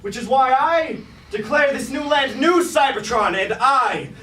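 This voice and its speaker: A man's voice: very high voice, expressive, measured pace, pushed and bright, heavily acted. An actor playing a scheming, grandiose robot king in a staged tragedy, speaking pompous, archaic theatrical lines. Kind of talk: staged reading of a mock Greek tragedy. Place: indoor staged reading, mic far from actor. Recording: roomy, distant, noisy live stage recording.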